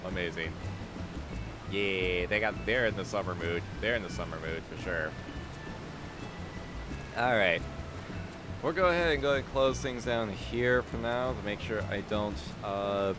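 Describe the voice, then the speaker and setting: A man's voice: lowish voice, a little slow, long pauses, music in the background. A man hosting a casual game stream, rambling and swearing, often repeating himself, his voice slightly strained. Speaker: livestream host.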